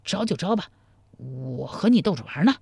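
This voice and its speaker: A woman's voice: lowish voice, very animated, normal speed, vocal fry, a little mellow, husky, no echo, full character voice. A woman voicing a sly, teasing young suitor in a storybook, wheedling and mock-meek. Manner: teasing, wheedling, laid-back. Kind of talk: dramatized storybook dialogue.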